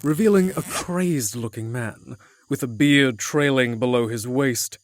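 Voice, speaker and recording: A man's voice: medium pitch, measured pace, no echo. A man narrating a scripted fantasy story in the third person.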